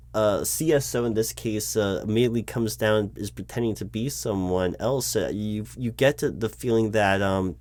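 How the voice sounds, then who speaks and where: A man playing a nervous, baffled corporate recruiting manager in an audio roleplay, polite and earnest with halting, stammering speech. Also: fairly low pitch, darkish tone, no room sound, lightly acted. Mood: worried. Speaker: roleplay performer. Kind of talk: one-sided audio roleplay of a job interview.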